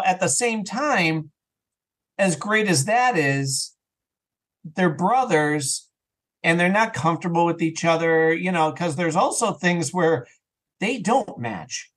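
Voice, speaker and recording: A man's voice: on the high side, fairly bright tone. A man speaking as a podcast guest, explaining things casually and enthusiastically, with stammered repetitions. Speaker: podcast guest, audio drama creator. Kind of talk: casual, explanatory interview chat.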